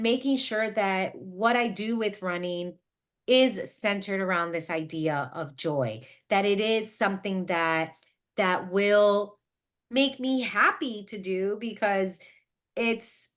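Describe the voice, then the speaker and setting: A woman in her late thirties with a bright, forward voice and a middling pitch. She talks like a podcast host in casual conversation, reflective and wordy, sorting out her thoughts as she goes. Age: late 30s. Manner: self-reflective, thinking out loud, wordy.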